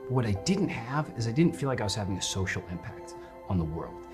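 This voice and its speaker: A man's voice: on the low side, sing-song, fast. A man speaking passionately and conversationally as a company executive in a promotional interview. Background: music.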